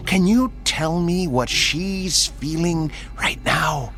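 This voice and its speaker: A man playing a sinister tormentor in a radio drama, menacingly polite, deliberate and taunting. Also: a little high, a bit bright. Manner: taunting, deliberate, drops into whispers. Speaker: actor. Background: music.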